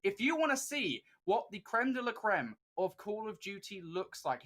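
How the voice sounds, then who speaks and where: A man's voice: fairly high pitch, a bit bright. A man casting an esports tournament in a chatty, upbeat way, with many fillers. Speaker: esports caster. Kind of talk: esports commentary.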